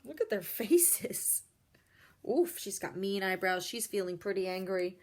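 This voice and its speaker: A woman doing an expressive picture-book read-aloud, pausing to explain things and ask young listeners simple questions. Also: mid-range voice. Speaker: read-aloud storyteller for children.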